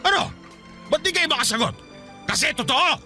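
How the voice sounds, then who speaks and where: A man's voice: very high voice, animated, normal speed, bright, forceful tone, soft background music, exaggerated voice. A man acting a pleading, bitter, drunken husband and father in a Filipino radio drama. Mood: bitter, accusing. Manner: wheedling, then accusing.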